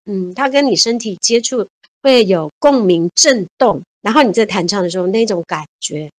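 A woman's voice: medium pitch, animated, moderate pace, dry recording. Cheerful woman in her fifties, dry sound. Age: 50s. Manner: cheerful, laughs often, repeats words. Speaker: guest. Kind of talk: casual interview chat.

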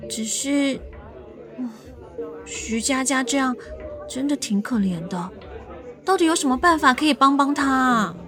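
A woman's voice: high voice, expressive, measured pace, long pauses, a crowd in the background. A woman playing a caring, outspoken young friend in a radio drama. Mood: concerned.

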